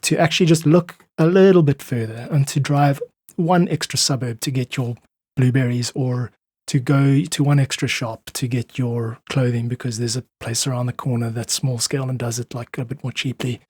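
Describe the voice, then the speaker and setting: A man's voice: mid-range voice, fairly even intonation, normal speed, darkish tone, husky, no echo. A South African man speaking earnestly and thoughtfully in a reflective interview, voicing his opinions as a guest. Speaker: podcast guest, independent musician.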